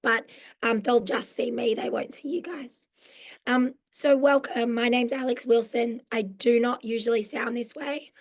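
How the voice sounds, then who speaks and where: A hoarse woman in her forties with an Australian accent, her voice croaky from losing it, explaining mindfulness in an online workshop in a friendly way. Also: a little high, fairly even intonation, unhurried. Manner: friendly, explanatory, with frequent um fillers. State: hoarse, lost voice. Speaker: mental health social worker teaching a mindfulness workshop. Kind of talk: live online workshop presentation. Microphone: video call.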